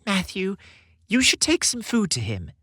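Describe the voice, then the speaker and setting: A man's voice: high voice, huge pitch swings, measured pace, pushed and bright, breathy edge, no room sound, studio quality, pitched above the performer's own voice, full character voice. A man voicing a doting, fretful elderly mother, Santa's wife, in a motherly, fussing manner for a story. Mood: warm, coaxing.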